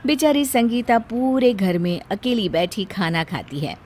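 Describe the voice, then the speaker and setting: A woman's voice: on the high side, expressive, unhurried, darkish tone, a little hiss or hum. A woman storyteller reading a scripted family tale as narration.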